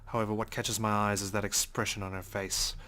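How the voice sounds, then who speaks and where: A man's voice: on the low side, little pitch movement, a little fast, sharp tone, a little hiss or hum. A man reading visual novel text aloud as dramatic first-person narration. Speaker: let's play host reading game text aloud.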